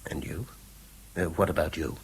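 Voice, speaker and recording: An actor playing a conscientious, wry middle-aged schoolteacher with an English accent and educated, hesitant phrasing in a 1970s radio drama. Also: male voice, a bass voice, moderate pace, gravelly, husky, full character voice, low-quality recording. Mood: tentative, tender. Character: conscientious male schoolteacher, wry, guarded, hesitant but firm.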